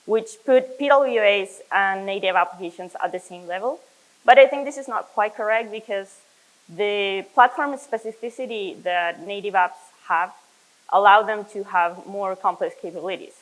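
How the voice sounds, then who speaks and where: A young adult woman from Chile giving a technical conference talk as a software developer, earnest and explanatory, with frequent fillers and self-corrections. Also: mid-range voice, rough recording.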